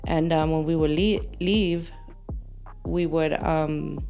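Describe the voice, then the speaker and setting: Low-voiced, creaky bilingual woman. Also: steady tone, slow pace, warm tone, soft background music. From Los Angeles, United States. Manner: switches between English and Spanish. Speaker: podcast guest. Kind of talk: candid conversational interview, telling life story.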